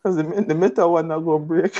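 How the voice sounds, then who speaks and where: A woman's voice: sits low, flat delivery, fast talker, warm tone, highs cut off, slight background noise. A woman co-hosting a casual chat, joking crudely and laughing often. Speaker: podcast co-host.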